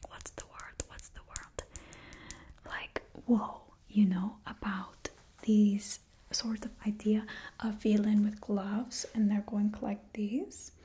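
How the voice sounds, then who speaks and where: A young woman in a put-on Russian accent, whispering slowly and closely as a stylist in an ASMR roleplay. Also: fairly high pitch, dark tone, slightly rough. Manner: close, slow whispering with drawn-out words. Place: indoors before a studio backdrop.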